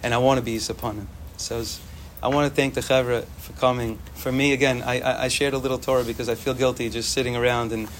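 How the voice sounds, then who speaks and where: A young man whose first language is Yiddish, giving an informal, animated Torah talk to students and repeating phrases for emphasis. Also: medium pitch, fast, hardly any pauses, a little hiss or hum. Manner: animated, repetitive, sprinkles Hebrew and Yiddish terms.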